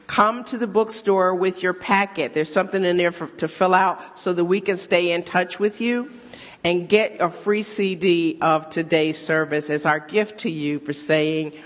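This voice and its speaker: A woman leading a church service, speaking to the congregation with informal, playful asides. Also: fairly low pitch. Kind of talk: warm-up announcements and call-and-response to a congregation. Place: large church hall, amplified to a congregation. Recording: echoey hall, loud music and congregation.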